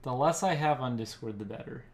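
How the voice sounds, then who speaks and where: A man hosting a casual stream, bantering in an animated, argumentative way. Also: medium pitch, fairly quick. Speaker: podcast host and streamer.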